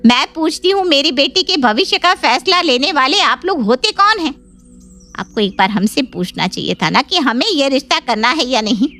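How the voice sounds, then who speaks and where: A woman plays a jealous sister-in-law in a cartoon family story. Her fairly high voice is sarcastic, bitter and accusing, over soft music. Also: very animated, normal speed, full character voice.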